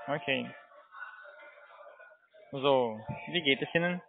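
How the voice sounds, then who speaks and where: A man's voice: mid-range voice, slow, gravelly, slight background noise. Insistent man on a phone line. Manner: insistent, repetitive questioning. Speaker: call center scam caller. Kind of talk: phone conversation.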